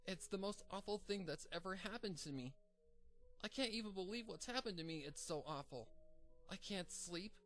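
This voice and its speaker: A frantic pony girl who hasn't slept in days, her voice pitched well up and straining with despair, as a male reader puts her on. She pleads in high, quivering bursts. Faint music runs under the storybook reading. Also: expressive, normal speed, a bit bright. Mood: distraught. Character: frantic, sleepless young female pony.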